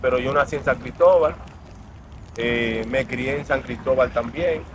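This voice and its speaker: A man's voice: medium pitch, unhurried. A man, a guest telling his life story in an earnest, reflective way. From Dominican Republic. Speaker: interview guest. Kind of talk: personal storytelling in an interview. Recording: outdoor traffic noise.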